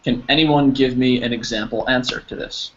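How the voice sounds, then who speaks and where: A man teaching an English class over a video call, patient and encouraging, explaining slowly with examples. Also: medium pitch, steady tone, fairly bright tone. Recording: slightly dull audio with faint noise.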